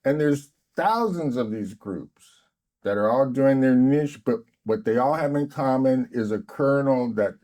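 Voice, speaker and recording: A man's voice: mid-range voice, unhurried, darkish tone. A man who is a podcast guest, explaining ideas to a friend in a patient, enthusiastic way and drifting into tangents.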